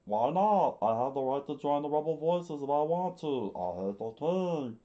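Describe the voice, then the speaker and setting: A man voicing a fiery young boy who wants to fight, shrill and defiant, on a dull lo-fi recording. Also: lively intonation, moderate pace, mellow, natural voice.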